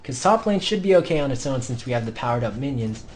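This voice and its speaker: A young man commentating his own online match, shouting through the fights and calm in between, his voice going hoarse. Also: mid-range voice, quick pace, soft background music. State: losing his voice. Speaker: gameplay commentator. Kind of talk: excited gameplay commentary.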